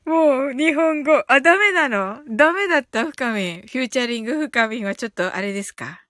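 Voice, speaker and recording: Giggly, high-voiced woman chatting live. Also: expressive, fairly quick, a bit bright, no echo, clean studio sound. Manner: giggly, breaks into frequent laughter; playful, repeats phrases with amusement. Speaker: live audio stream host teaching English phrases. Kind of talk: casual live-stream chat, reacting to listener comments.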